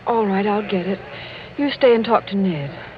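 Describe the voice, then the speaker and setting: A woman's voice: medium pitch, moderate pace, natural voice. An actress playing a headstrong young niece, girlish and pleading, in 1940s radio drama style. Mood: pleading, pouting. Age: young adult. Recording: muffled vintage radio, music behind.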